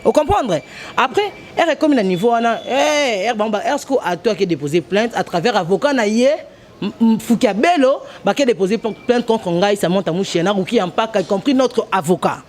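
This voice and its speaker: Congolese woman journalist, interview guest, forceful and halting, mixes French with Lingala. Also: medium pitch, expressive, slight background noise. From DR Congo, Kongo Central. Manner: emphatic, hesitant, switches between French and Lingala.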